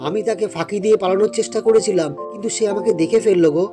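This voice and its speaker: A man playing a kindly, grateful villager who speaks in an earnest, friendly way in a children's animated folk tale. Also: sits high, racing, over music, lightly acted.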